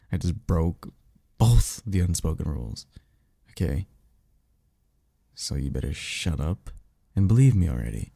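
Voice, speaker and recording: A man playing a guarded, secretive vampire in an ASMR roleplay, speaking in a halting, insistent, colloquial and sardonic way. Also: low-pitched, gravelly, warm tone, no room sound, clean studio sound, heavily acted. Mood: exasperated. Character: guarded, secretive male vampire offering magical mentorship. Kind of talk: ASMR roleplay audio drama.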